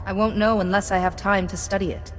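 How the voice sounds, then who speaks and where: A woman playing a self-possessed young Jedi apprentice in a video game, speaking in a measured, firm way. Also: medium pitch, flat delivery, natural voice. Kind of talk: video game dialogue acting. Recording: echoey hall, music behind.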